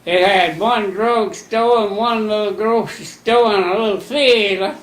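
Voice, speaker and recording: Old guy in his 90s telling stories, kinda high voice, roomy echo. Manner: folksy, unhurried storytelling with plain rural grammar. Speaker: interviewee. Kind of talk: rambling recollection.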